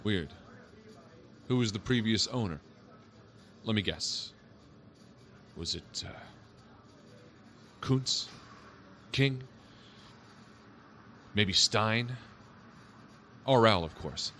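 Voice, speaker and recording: A man's voice: fairly low pitch, lively intonation, drawn-out words, long pauses, bright, forceful tone, slight background noise, exaggerated voice. An actor playing a skeptical male police officer who teases and jokes in a dry way. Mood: teasing. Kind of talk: audio drama acting.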